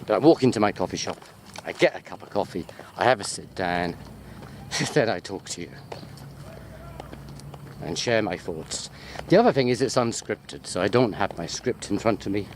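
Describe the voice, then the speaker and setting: A man's voice: fairly low pitch, lively intonation, moderate pace. A man chatting casually and off the cuff as a lecturer filming himself on a walk, jokey and easily sidetracked. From United Kingdom. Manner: rambling, easily distracted, jokey. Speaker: university lecturer. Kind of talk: casual unscripted walking video chat. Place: outdoors, walking through botanical gardens. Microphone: handheld wide-angle sports camera. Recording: outdoor ambient noise while walking.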